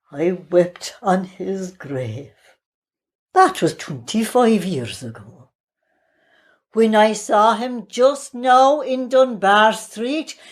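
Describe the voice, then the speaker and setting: An Irish woman actor playing a haunted, persecuted outcast madwoman in a plaintive, deliberate dramatic poetry reading. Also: medium pitch, a little mellow. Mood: anguished, fearful.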